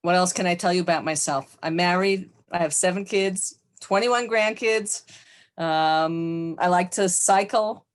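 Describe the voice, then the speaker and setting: Low-voiced older American woman. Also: a little slow. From United States. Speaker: podcast guest, psychologist. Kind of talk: conversational self-introduction.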